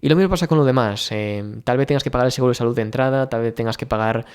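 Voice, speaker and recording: Chatty Spanish man, smooth clear voice. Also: mid-range voice, rapid-fire. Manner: chatty, thinking aloud with many fillers and restarts. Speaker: vlogger. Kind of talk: casual personal vlog talk.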